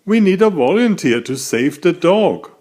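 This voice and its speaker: A man's voice: sits high, big pitch swings, measured pace, a little mellow, pitched above the performer's own voice, exaggerated voice. A man voicing an elegant, friendly storybook tomcat who takes charge, speaking in an emphatic, declaiming way. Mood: urgent, commanding.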